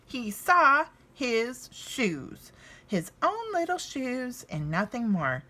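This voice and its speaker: A woman reads a children's story aloud. Her delivery is highly animated, with lively swings in pitch and dramatic pauses for emphasis. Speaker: storybook reader. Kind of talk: expressive children's storybook narration.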